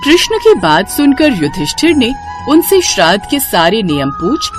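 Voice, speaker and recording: A woman narrates a scripted devotional tale. Her intonation swings in a lively, expressive way, and music plays clearly behind her. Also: a little high, normal speed.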